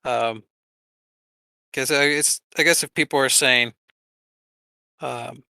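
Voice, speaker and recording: A man's voice: medium pitch, fairly even intonation, slow pace, gravelly, bright, forceful tone, clean tone, no echo. A man co-hosting a podcast, chatting in an informal, rambling and jokey way. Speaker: podcast co-host. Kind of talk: casual podcast chat.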